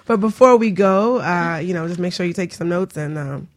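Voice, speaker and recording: A woman's voice: lowish voice, normal speed, heavy background noise. A woman hosting a casual radio talk show, laughing easily and hesitating with fillers.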